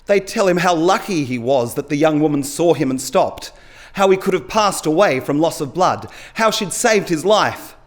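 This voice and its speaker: Middle-aged man, live story reading, expressive, slightly high voice, very varied intonation. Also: moderate pace. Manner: dramatic, acts out dialogue. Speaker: story reader at a live literary event. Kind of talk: expressive storytelling, reading short fiction aloud. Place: stage at a small indoor event venue. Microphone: lectern gooseneck microphone.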